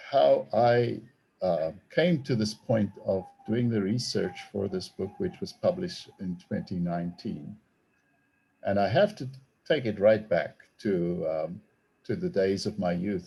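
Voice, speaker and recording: Deep gravelly South African man. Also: warm tone. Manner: measured, thoughtful, with frequent ums. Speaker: theology professor and author. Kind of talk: online book talk. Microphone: video call.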